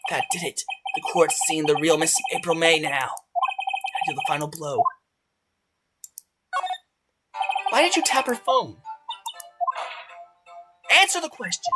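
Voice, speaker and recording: A woman voicing an earnest, excitable young male defense lawyer in a game, emphatic and insistent. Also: deep voice, normal speed, pauses often. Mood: pressing. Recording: loud game music behind voice.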